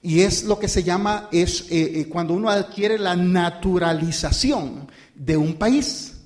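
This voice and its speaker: A male pastor giving a relaxed, conversational sermon, hesitating with many fillers and restarts and calling his listeners brothers. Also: sits high, a little slow. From born outside the United States. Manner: addresses listeners as brothers and "usted".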